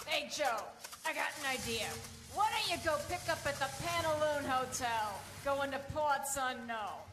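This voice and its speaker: A woman's voice: high-pitched, lively intonation, normal speed, music in the background. A woman playing a pert taxi dispatcher in a 1930s-style radio comedy. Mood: cheeky.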